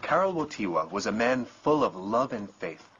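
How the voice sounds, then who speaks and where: A man's voice: on the low side, very animated, normal speed, slight background noise. A man playing an earnest, reverent storyteller, giving scripted narration in a dubbed cartoon. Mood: fond. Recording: muffled lo-fi audio with loud music.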